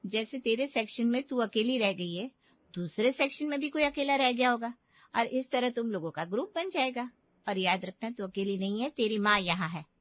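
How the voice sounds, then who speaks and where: A woman plays a reassuring middle-aged mother in an audio drama. Her voice sits somewhat high, with a soothing manner. The recording is very dry and slightly dull. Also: no room sound, natural voice. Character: caring, reassuring middle-aged Indian mother of two daughters. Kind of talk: Hindi audio drama acting.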